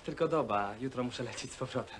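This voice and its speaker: Fast-talking, breezy young man played by an actor. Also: medium pitch, a bit bright, close to an everyday voice. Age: young adult. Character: breezy, slangy young man back from work placement. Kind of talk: naturalistic film drama dialogue. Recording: old Polish film soundtrack, faint noise.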